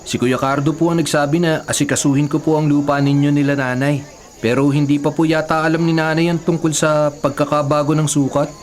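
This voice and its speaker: An actor playing an earnest, respectful young nephew with a Filipino accent in a radio drama, polite and matter-of-fact. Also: male voice, fairly high pitch, little pitch movement, fairly quick, close to an everyday voice. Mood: polite concern. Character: earnest, respectful young nephew looking after family land.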